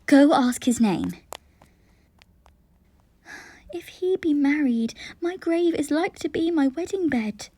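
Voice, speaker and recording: A young noblewoman in love, acted by a woman in a Shakespeare radio play. She speaks slowly in a high, gentle, mellow tone, giving each line of verse room. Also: lively intonation. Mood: anxious longing. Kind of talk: amateur Shakespeare audio drama. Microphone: phone, recorded at home.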